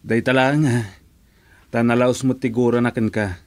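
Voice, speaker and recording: A man's voice: medium pitch, flat delivery, normal speed, a little mellow, no room sound, natural voice. A man acting a mild-mannered, courteous friend in an Ilocano radio drama. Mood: friendly, uneasy.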